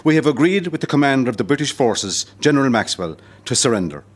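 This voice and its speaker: A man plays a resolute Irish commandant in a historical audio drama. He addresses his troops formally and solemnly, in measured phrases, with a medium-pitched voice. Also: expressive, natural voice. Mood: solemn resolve. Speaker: actor in an amateur audio play.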